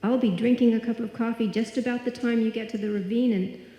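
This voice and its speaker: Woman acting soft-toned worried friend, echoey hall. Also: fairly high pitch, fairly even intonation, fast talker, natural voice. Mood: worried, uneasy. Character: worried, guilt-ridden, caring woman friend. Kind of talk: old-time radio suspense acting, performed live.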